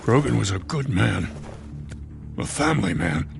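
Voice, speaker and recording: A man playing a tough, hot-tempered police lieutenant in a video game, gruff and urgent with a gravelly voice. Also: lowish voice, lively intonation, a little slow, music in the background, heavily acted. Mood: angry, urgent. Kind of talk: dramatic video game acting.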